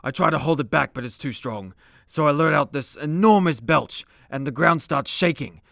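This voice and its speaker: An actor playing an easygoing, hard-drinking immortal demigod in a superhero comedy radio play, chatting casually like a storyteller. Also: male voice, on the high side, animated, normal speed, creaky, a bit bright, dry recording, studio quality. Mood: boastful storytelling.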